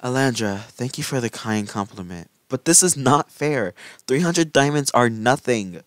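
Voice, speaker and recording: A woman voicing a polite, easily wounded young man in an amateur animated movie. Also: extremely low pitch, moderate pace, no echo. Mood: indignant.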